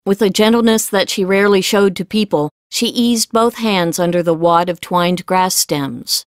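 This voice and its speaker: A woman reading scripted fiction as an audiobook narrator, with measured storytelling delivery. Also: medium pitch, no echo, clean studio sound.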